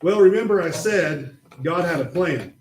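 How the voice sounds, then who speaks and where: Man, high voice, patient children's Bible storyteller opposite a puppet. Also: expressive, normal speed, a little hiss or hum. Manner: patient, gently explaining. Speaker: puppet show presenter. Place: church.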